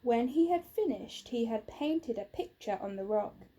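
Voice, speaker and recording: A woman reads a children's story at a slow, measured pace. Her voice is fairly high and mellow, with expressive phrasing. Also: slight background noise. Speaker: storybook reader. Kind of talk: scripted children's story narration.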